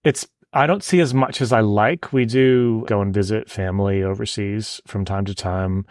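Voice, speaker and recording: A man's voice: lowish voice, moderate pace, darkish tone, dry recording, clean studio sound. A man from Canada with an American Denver accent, a podcast guest chatting casually and self-deprecatingly about his work. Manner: self-deprecating, laughing often. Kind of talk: casual interview chat. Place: home, evening, remote call.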